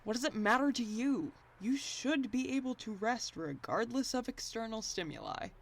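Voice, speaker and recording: A woman playing a restless, irritable software engineer in an audio drama, talking in a dry, pedantic, bickering way. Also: fairly high pitch, lively intonation, moderate pace, creaky.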